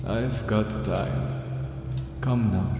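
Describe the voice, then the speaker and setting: An actor in an audio drama playing a gentle, fatherly older mentor who speaks in an unhurried, coaxing, unsettling way. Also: on the low side, fairly even intonation, background noise. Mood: soothing, fatherly. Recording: echoey reverberant hall, music underneath.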